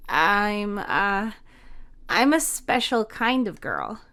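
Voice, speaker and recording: A woman voicing a gentle, caring young transgender woman with a soft, slightly awkward manner in an audio story. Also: medium pitch, sing-song, very slow, exaggerated voice. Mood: reflective.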